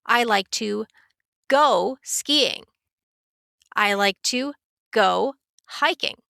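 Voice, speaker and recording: A woman teaching a beginner English lesson in a careful, clear, scripted way. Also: fairly high pitch, drawn-out words, pushed and bright, no echo, clean studio sound. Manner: clear, careful, instructive delivery.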